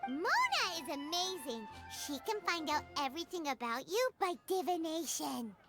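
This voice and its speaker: Game fairy companion: tiny, girlish, very high voice with wildly swooping pitch, cheeky and excitable, over loud music. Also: normal speed, exaggerated voice. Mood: gushing, boastful. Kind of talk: video game voice acting.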